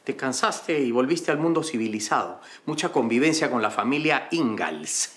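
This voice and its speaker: A man's voice: medium pitch, lively intonation, normal speed, a bit bright, close to an everyday voice. An actor with a Peruvian accent playing a condescending, mocking wealthy father in a comedy series. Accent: Peruvian Spanish. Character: snobbish mocking wealthy father, middle-aged man.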